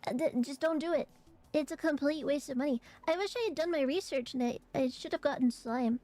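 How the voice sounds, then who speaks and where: A young woman streamer chatting casually and rambling with fillers, her voice a little hoarse and raspy from allergies. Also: high-pitched, a little fast, warm tone. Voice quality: raspy, phlegmy throat. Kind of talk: casual chat to stream viewers.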